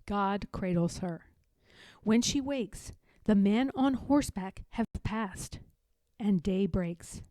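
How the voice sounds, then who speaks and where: Slow, mellow woman reading a storybook. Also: mid-range voice, no echo. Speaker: storybook reader. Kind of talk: expressive read-aloud narration of a children's picture book.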